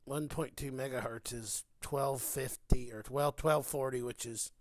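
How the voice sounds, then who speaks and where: A man's voice: mid-range voice, fairly even intonation, unhurried, dry recording. A man thinking aloud in a casual, unscripted walkthrough as a hobbyist showing off shortwave radio. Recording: very noisy, loud radio static hiss. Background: shortwave radio hiss and static.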